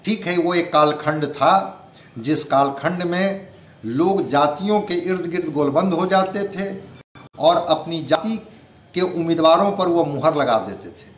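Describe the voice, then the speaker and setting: A man's voice: a little high, a little slow. A man giving political commentary like a spokesman, earnest and oratorical in his phrasing. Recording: roomy, echoing interview sound.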